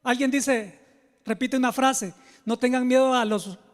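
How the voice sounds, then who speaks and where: A man's voice: very high-pitched, steady tone, measured pace. A man with a Salvadoran Spanish accent, a pastor giving a conversational sermon built on personal stories, speaking informally and confidingly straight to his listeners. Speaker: pastor, preacher. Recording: long hall reverb.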